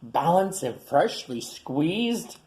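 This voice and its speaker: A man's voice: on the high side, very animated, extremely slow, a little mellow, full character voice. A man doing a serene dinosaur auntie. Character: serene female dinosaur aunt, wellness-minded.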